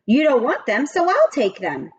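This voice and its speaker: Sly haggling male teacher read by a woman, expressive. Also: high-pitched, moderate pace, husky, lo-fi sound, pitched above the performer's own voice, full character voice. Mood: mock helpful. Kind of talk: children's storybook read-aloud.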